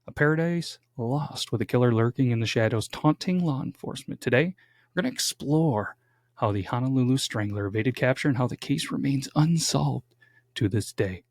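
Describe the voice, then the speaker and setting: A man's voice: mid-range voice, normal speed, a little mellow, no echo. A young man co-hosting a casual true-crime podcast from home, chatty and jokey with dramatic build-ups. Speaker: podcast co-host. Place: home studio.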